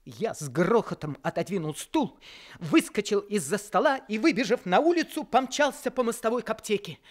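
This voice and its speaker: Teen boy narrator, high, earnest; old radio drama. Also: expressive, normal speed. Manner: earnest storytelling. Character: teenage boy narrating his story, restless. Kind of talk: 1960s Soviet radio drama acting.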